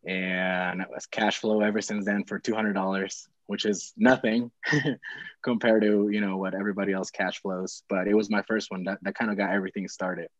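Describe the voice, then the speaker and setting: A young man from Peru, speaking low and easy, tells a story in loose, rambling runs with lots of fillers and restarts. His voice comes through earbuds on a video call, with the dull, muffled tone of call audio. The sound is dry and close, with no room echo. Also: flat delivery, normal speed. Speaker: real estate investor guest. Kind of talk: casual video call chat. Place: small home room indoors.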